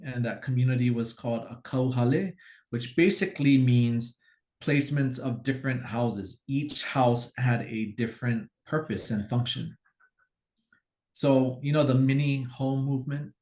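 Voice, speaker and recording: A man from Hawaii, a guest teacher, telling stories in a relaxed, explanatory way during a casual conversation. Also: medium pitch, unhurried. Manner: relaxed, explanatory, acts out quoted commands.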